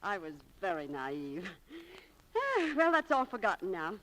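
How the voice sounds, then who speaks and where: A woman's voice: medium pitch, huge pitch swings, a little slow, a bit bright, slight background noise, low-quality recording. An actress playing a candid, romantic young shopgirl in a 1940s radio drama, breezy and confiding. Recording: vintage 1940s radio recording.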